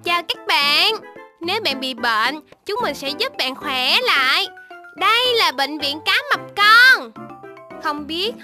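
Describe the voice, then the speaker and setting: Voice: high-pitched, animated, slow. An actor voicing a caring, cheerful baby shark doctor, encouraging and instructing young viewers in a children's cartoon, with music behind.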